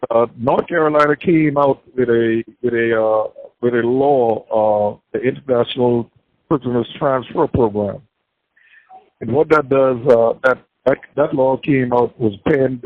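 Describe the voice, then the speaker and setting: An older man, a prisoner giving an interview, speaking haltingly with many fillers and repeats and a devout tone. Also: mid-range voice, dry recording. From Bahamas. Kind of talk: casual interview talk. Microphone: prison phone call. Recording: tinny phone line.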